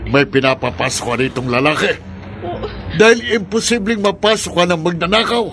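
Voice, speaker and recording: An actor playing a suspicious, indignant older master of the house in a radio drama, stern and accusing, over music. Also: male voice, high-pitched, expressive, normal speed, fairly bright tone. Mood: angry, accusing. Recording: music under the voice.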